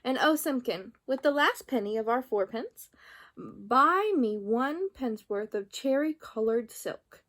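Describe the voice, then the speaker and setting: Woman acting a weary old tailor, high-voiced. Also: expressive, a little slow, a bit bright. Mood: weary, pleading. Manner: old-fashioned wording, fretful muttering to himself. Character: poor, weary old male tailor muttering to himself.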